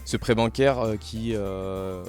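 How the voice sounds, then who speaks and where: A man in his twenties, a pharmacy student answering interview questions deliberately with many fillers. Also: lowish voice, steady tone, a little mellow, soft background music. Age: 20s.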